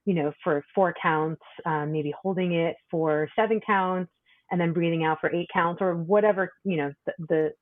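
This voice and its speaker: A woman podcast guest giving conversational advice in a thoughtful, halting, hedging manner, heard over tinny call audio. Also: on the low side, fairly even intonation, dry recording. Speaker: podcast guest, wellness workshop leader.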